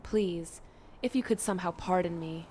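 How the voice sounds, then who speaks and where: A woman's voice: lowish voice, moderate pace, a little mellow, slight background noise, natural voice. A woman playing a polite, composed and deferential mysterious antagonist with formal courtesy. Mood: composed, courteous.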